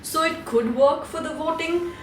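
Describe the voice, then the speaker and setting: A woman's voice: sits high, moderate pace, fairly bright tone, echoey room, a little hiss or hum. A woman in her thirties with an Indian English accent, chatting candidly to camera in a home vlog, swearing now and then and mixing in Hindi. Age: 30s. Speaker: vlogger. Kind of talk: casual chat to camera. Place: room at home, indoors.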